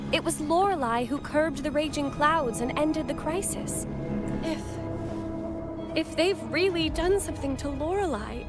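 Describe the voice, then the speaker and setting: An actress playing an earnest, formal young woman of a religious order in a video game. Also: sits high.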